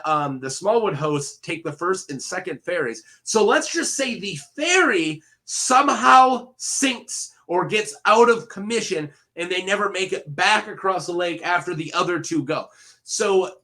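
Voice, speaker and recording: A man's voice: high voice, expressive, unhurried, pushed and bright. A man getting over a head cold, hosting a rambling solo commentary video full of fillers and self-corrections. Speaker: video host.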